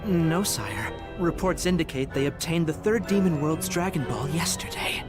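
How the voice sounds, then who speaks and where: A man's voice: fairly high pitch, expressive, normal speed, over music, close to an everyday voice. A man playing a subservient demon henchman in a game, reporting formally and a little hesitantly to his master. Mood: dutiful, uneasy.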